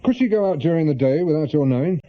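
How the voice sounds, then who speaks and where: A man's voice: fairly high pitch, lively intonation, fast, lightly acted. An actor playing a dry, world-weary middle-aged ex-policeman turned private detective with an English accent, wry and blunt in a British radio drama. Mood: probing. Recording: muffled, lo-fi radio drama recording.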